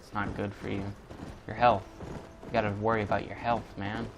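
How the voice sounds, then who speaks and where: A man's voice: lowish voice, little pitch movement, fairly quick, background noise. A man giving casual, joking, off-the-cuff commentary as he hosts a gaming video.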